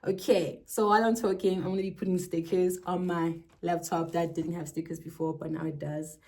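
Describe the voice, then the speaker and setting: Low-pitched young South African woman, chatty. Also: flat delivery, brisk, warm tone. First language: non-English South African language. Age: 20s. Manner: playful, rambling asides and self-interruptions. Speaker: streamer and vlogger. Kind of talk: casual chatty vlog to camera. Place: alone in a bedroom. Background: faint music.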